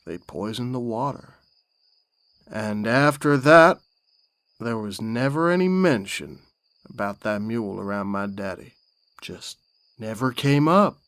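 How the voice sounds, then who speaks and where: Slow folksy country man remembering his daddy, wistful, bright dry recording. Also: fairly low pitch, expressive, clear, resonant tone, no echo. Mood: wistful, reflective. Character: rural man recalling his ashamed father, wistful storyteller. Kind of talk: dramatic storytelling monologue.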